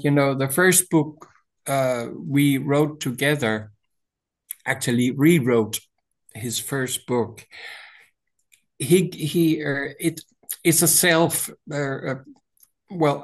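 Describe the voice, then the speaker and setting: An older man from Denmark with a Danish accent, speaking English as his second language on a video call, halting with many restarts and throat clearing. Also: fairly high pitch. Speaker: author and voice teacher. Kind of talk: interview over video call. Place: small room indoors. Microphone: video call webcam.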